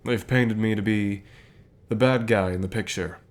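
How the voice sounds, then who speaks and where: A man playing a calm, reassuring company boss with gentle authority, speaking softly in measured phrases for an ASMR roleplay. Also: fairly low pitch, lightly acted. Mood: reassuring, concerned. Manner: measured, soft-spoken, deliberate pauses. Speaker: voice actor in an audio roleplay.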